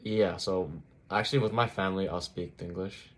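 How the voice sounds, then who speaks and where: A man's voice: sits low, fairly even intonation, moderate pace. A young man from Thailand, an international college student, chatting easily in an interview and leaning on "like" fillers. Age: young adult. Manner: easygoing, heavy use of "like" fillers. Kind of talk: casual interview chat.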